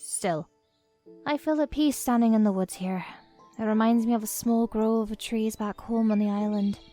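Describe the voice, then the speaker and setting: A woman playing a calm, gentle forest ranger in a fantasy audio drama. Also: a little high, moderate pace, faint music behind, natural voice. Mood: serene, wistful. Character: serene female forest ranger at peace among trees.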